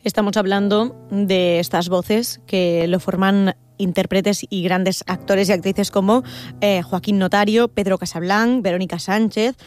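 A woman with a Spanish accent hosts an interview. Her voice sits at a middle pitch and she speaks conversationally as she leads into questions. Music plays behind her. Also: fairly even intonation, unhurried, darkish tone. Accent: Spain. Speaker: interviewer, radio or podcast host.

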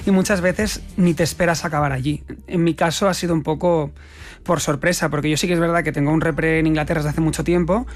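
A man with a Spanish accent from Spain, chatting in an expressive, colloquial way as an interview guest. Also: on the high side, fast talker. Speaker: guest actor being interviewed. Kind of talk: casual interview chat.

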